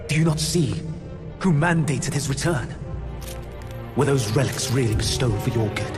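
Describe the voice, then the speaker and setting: A man acts an arrogant, silver-tongued immortal schemer from a fantasy game. His speech is formal, grandiose and theatrical, at a middling pitch, with music clearly behind it. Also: natural voice. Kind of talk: dramatic fantasy game acting.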